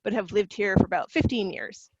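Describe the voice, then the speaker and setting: A woman's voice: mid-range voice, lively intonation, brisk, vocal fry, husky, no echo, muffled recording. A Canadian woman presenting on a video call, conversational, with frequent fillers and restarts. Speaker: university recruitment officer. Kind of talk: webinar presentation.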